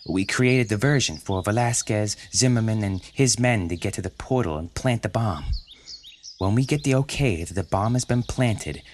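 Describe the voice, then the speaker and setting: Man voicing a penguin gang boss, commanding, barking orders, fairly low voice, guitar music under it. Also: normal speed, slight background noise, close to an everyday voice. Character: gruff male penguin gang leader.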